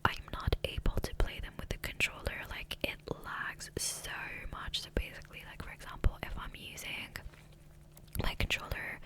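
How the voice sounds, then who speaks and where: A woman whispering breathily in a casual, rambling ASMR style, close to the microphone. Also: low-quality recording. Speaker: ASMR gaming video creator. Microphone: close USB condenser microphone.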